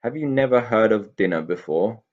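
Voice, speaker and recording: A man voicing a cross, bullying goblin from a children's storybook, loud, indignant and commanding. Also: on the low side, animated, normal speed, highs cut off, close to an everyday voice. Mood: gruff, demanding. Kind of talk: children's storybook character reading.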